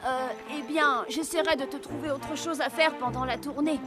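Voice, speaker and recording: A woman's voice: sits high, fairly even intonation, normal speed, lightly acted. A woman playing an earnest, kind young boy in a French children's cartoon, speaking conscientiously. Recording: music behind the voice.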